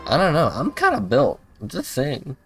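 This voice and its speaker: A man's voice: on the high side, wildly swooping pitch, normal speed. A man livestreaming a game with casual, offhand commentary and muttered reactions. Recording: game music behind the voice.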